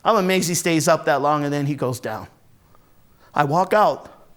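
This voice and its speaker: A man playing a volatile, hard-drinking, foul-mouthed Marine from a rough neighborhood, telling his story in a raw, halting dramatic monologue. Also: on the high side, natural voice. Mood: grim, pained. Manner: raw, profane, halting then bursting into anger. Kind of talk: first-person dramatic monologue, conversational storytelling.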